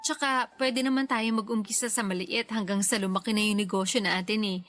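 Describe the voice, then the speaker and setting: A woman's voice: medium pitch, fairly even intonation, brisk, a bit bright, soft background music, lightly acted. A woman playing a respectful, ambitious daughter in her twenties, polite and eager, in a radio drama. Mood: eager, determined. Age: young adult. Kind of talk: Tagalog radio drama acting.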